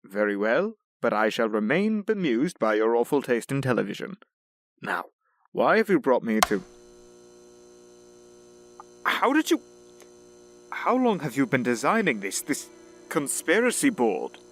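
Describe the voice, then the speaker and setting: A man plays a droll butler in an audio drama, formal and wryly bemused. His middle-pitched voice moves up and down expressively. The recording carries some hum and clicking. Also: big pitch swings, moderate pace. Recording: clear hum and clicks behind voice.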